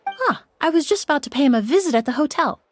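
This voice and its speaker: A woman's voice: high voice, big pitch swings, quick pace, a little hiss or hum. A woman playing a refined, composed young perfumer in a video game, explaining things politely. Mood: gracious. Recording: music playing behind the voice.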